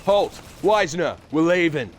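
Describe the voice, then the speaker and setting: A man playing an urgent, commanding Marine captain in an audio drama, shouting terse orders. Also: fairly high pitch, lively intonation, a little slow, a little hiss or hum.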